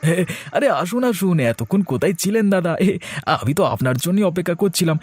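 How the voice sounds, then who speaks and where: A man's voice: sits high, animated, quick pace, a little mellow. A man playing a jittery, chatty food seller in a horror cartoon, stammering and wheedling. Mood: eager, hospitable.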